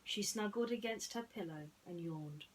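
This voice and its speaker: A woman hosting and narrating bedtime stories in a gentle storytelling style. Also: medium pitch, moderate pace, slight background noise, rough recording.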